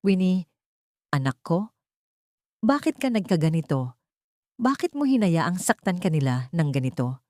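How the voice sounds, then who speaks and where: A woman acts out a grieving older Filipino mother in an audio drama. Her low, dark voice is anguished, firm and reproachful. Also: very animated, a little slow, long pauses, dry recording, studio quality.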